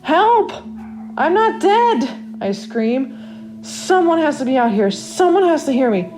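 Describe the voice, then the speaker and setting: A woman's voice: high voice, sing-song, slow, a little mellow, a little hiss or hum, voice pushed up, heavily acted. A woman reading a first-person horror story as a trapped accident victim, in a dramatic, confiding inner monologue. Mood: panicked, pleading. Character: trapped narrator waking after accident, wry then panicked. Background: original music.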